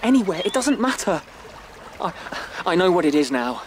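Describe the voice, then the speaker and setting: A man playing an anxious, visionary young male rabbit in a radio drama, speaking in breathless, halting dread. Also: high-pitched, sing-song, background noise. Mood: urgent, pleading.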